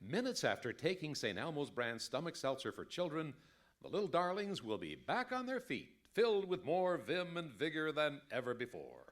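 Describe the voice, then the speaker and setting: An actor playing an earnest, emphatic announcer in an old-time radio advertisement. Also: male voice, a little high, very animated, normal speed, fairly bright tone. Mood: earnest pitch. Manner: salesmanlike, emphatic. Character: smooth-talking, earnest radio commercial announcer.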